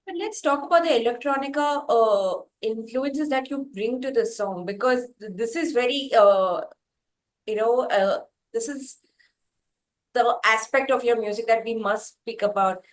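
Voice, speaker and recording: High-voiced woman interviewing, wordy phrasing. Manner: wordy, deliberate, halting phrasing with repeats. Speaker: interviewer and host. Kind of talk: interview questioning.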